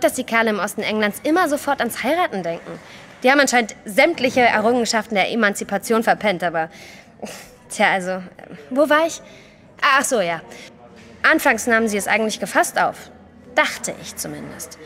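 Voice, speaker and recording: A woman's voice: mid-range voice, a bit bright. An actress playing a frightened, indignant young woman in an audio drama, stammering hesitantly at times and speaking emphatically. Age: young adult.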